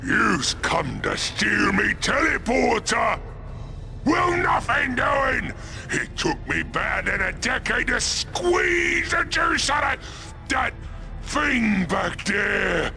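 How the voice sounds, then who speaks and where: A man playing a brash, belligerent ork warboss in a video game cutscene, with a gravelly, harsh Cockney voice and crude bellowing grammar. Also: very high voice, big pitch swings, slow pace, pushed and bright, raised voice, heavily acted. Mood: mocking, belligerent. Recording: game music under the voice.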